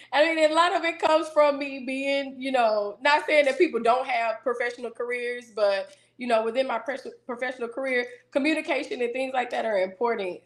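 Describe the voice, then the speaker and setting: A woman singer interviewed as a guest, talking casually in a self-assured, businesslike way with a deeper voice. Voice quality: deeper singing voice.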